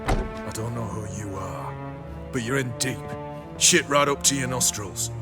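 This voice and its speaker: A man's voice: medium pitch, a little slow, over music, lightly acted. A man playing a brash, sardonic, foul-mouthed captive in a video game. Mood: sneering defiance. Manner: sneering, sardonic, excitable.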